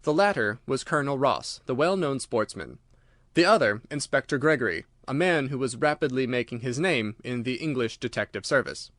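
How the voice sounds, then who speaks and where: A man reading scripted audiobook narration in a measured, articulate, literary manner. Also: mid-range voice, animated, a bit bright, no room sound, studio quality.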